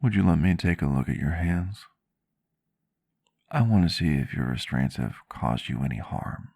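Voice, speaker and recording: Guy acting as a polite caring vampire doctor, really low creaky voice, soft asmr roleplay. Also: lively intonation, normal speed, no room sound, exaggerated voice. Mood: tender, reassuring. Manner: soft-spoken, formal wording, reassuring. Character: gentle, courteous male vampire doctor, caring partner. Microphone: close microphone, dry sound.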